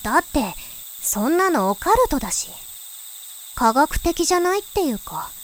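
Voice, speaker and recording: A woman's voice: high-pitched, big pitch swings, normal speed, a little hiss or hum. An actress voicing a skeptical young girl, the little sister, who doubts and questions, in a voice drama. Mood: dismissive. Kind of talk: voice drama acting. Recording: faint insect and cricket ambience.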